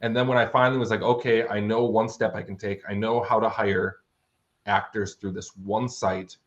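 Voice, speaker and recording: A man's voice: fairly low pitch, fairly even intonation, moderate pace. A man chatting casually as an interview guest, telling anecdotes in a conversational way. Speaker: guest author and audio drama producer. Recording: dull, lo-fi audio.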